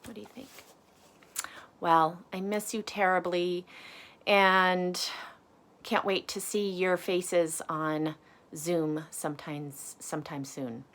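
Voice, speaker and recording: A woman teacher reading a picture book aloud to children, with deliberate dramatic emphasis and questions for the listeners. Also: lowish voice, fairly even intonation, fairly bright tone.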